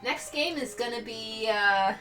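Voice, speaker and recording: High, excitable woman streamer. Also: a little slow, music in the background, poor audio. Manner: excitable, swearing, laughing asides. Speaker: stream co-host. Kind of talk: casual game stream banter.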